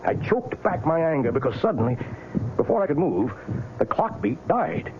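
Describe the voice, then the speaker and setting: Brooding haunted widower in old radio play, intense dramatic swings, muffled sound w music behind. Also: male voice, on the high side, sing-song, moderate pace, background noise. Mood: grim, brooding. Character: brooding, obsessive clock-keeping widower, guilty and short-tempered. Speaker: narrator and lead character. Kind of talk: old-time radio drama acting and first-person narration.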